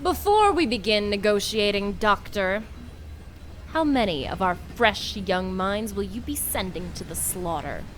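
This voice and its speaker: A proud woman dean, played by an actress in a comedic radio drama, with a mid-range voice. She speaks formally and earnestly, in measured phrases, over faint background noise. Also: mid-range voice, lively intonation, lightly acted, low-quality recording. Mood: businesslike. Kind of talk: comedic audio drama acting.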